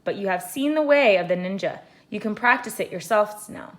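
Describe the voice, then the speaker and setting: A woman's voice: mid-range voice, lively intonation, natural voice. Woman reading as a solemn, commanding ninja master. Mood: solemn, teaching. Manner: terse, measured, grave pronouncements.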